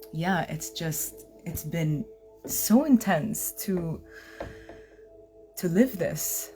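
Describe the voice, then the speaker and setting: A woman's voice: fairly low pitch, warm tone. A woman hosting a heartfelt live video, speaking earnestly and hesitantly, often restarting her sentences. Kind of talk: heartfelt personal live-stream talk.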